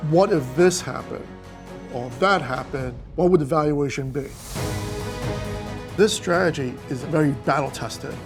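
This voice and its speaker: A man's voice: a little high, a little slow, long pauses, over music. Plainspoken New man in his fifties. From New York City. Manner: candid, plainspoken, emphatic. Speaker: fund manager guest. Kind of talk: conversational interview monologue.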